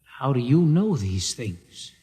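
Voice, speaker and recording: A man's voice: mid-range voice, sing-song, slow, warm tone, slightly rough, exaggerated voice. An actor playing an earnest young man who pleads urgently, in a 1950s radio drama. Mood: curious. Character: young male ship-dweller, earnest and pleading.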